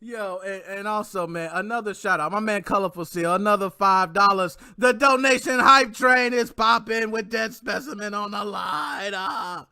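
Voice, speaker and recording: A man's voice: sits high, fairly even intonation, normal speed, vocal fry, hardly any pauses, pushed and bright, clear, resonant tone, dry recording, clean studio sound. A man co-hosting a casual livestream, full of hype slang, laughing often and asking "you know what I mean".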